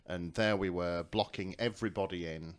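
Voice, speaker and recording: Low-voiced man chatting on a podcast. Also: steady tone, normal speed. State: hungover. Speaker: podcast co-host. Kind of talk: casual chat. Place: living room table, indoors. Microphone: podcast microphone.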